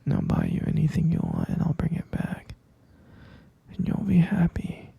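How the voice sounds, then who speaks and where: A dark, whispered male voice, a man playing an obsessive yandere lover who holds his beloved captive. He coaxes slowly and insistently, tender and possessive, with a heavy gravelly creak. It is an intimate, close-up ASMR roleplay. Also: on the high side, wildly swooping pitch, no echo, full character voice. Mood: soothing, possessive. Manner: coaxing, slow, cooing, insistent. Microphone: close-up microphone.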